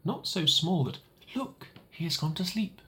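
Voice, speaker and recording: A man voicing a small, earnest boy from a tiny world who asks for things gravely and patiently. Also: expressive, measured pace. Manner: high, soft, patient and serious. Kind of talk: audiobook character voice.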